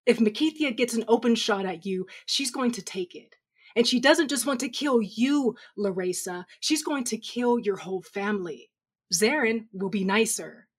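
A woman's voice: on the high side, moderate pace, breathy edge. A woman playing a blunt, sardonic comrade of a Sith in an audio drama, confrontational and exasperated. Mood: exasperated, challenging.

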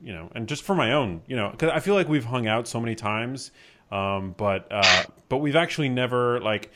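A man's voice: mid-range voice, animated, moderate pace, very noisy. A man hosting a podcast, easing into a question hesitantly, with lots of fillers. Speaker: podcast host. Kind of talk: casual interview question.

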